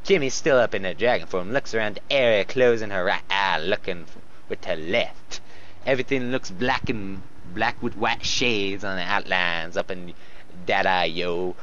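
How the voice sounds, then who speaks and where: A man's voice: deep voice, normal speed, fairly bright tone, a little hiss or hum. A man doing a comedic read-aloud of fan fiction, stumbling over lines and adding mocking commentary. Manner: often breaks into laughter and stumbles while reading. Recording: dull lo-fi home recording.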